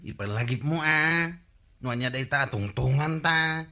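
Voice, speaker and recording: A man's voice: mid-range voice, animated, a little slow, bright, forceful tone. A man with an Ilocano accent playing an eager, impatient young nephew and sidekick in a radio comedy drama, talking insistently.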